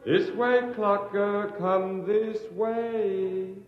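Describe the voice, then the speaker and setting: An actor playing an otherworldly beckoning voice, singsong and drawn out, with long echoing reverb, in a 1950s radio drama. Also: male voice, sits high, level tone, drawn-out words. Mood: eerie, beckoning. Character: eerie otherworldly voice calling and beckoning. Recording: dull vintage radio, cavernous echo, music.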